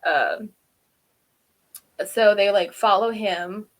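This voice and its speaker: A woman co-hosting a review show, casually recapping and commenting in an informal, animated way. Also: mid-range voice, extremely slow. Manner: informal, animated retelling with acted-out quotes. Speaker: co-host of a TV episode review show.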